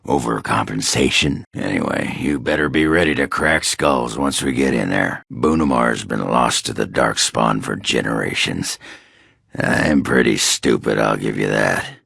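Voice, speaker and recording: An actor playing a crude, hard-drinking dwarf warrior in a video game, rough and raspy, with a blunt, coarse way of talking. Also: male voice, a bass voice, unhurried, fairly bright tone, dry recording, clean studio sound, exaggerated voice. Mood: wry, grumbling. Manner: blunt, coarse, folksy swearing. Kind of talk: video game character dialogue.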